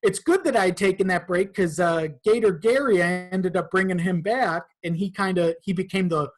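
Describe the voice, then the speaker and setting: Guy with a lightish higher voice, just chatting as a podcast guest. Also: normal speed. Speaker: podcast guest, audio drama creator. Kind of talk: casual chat.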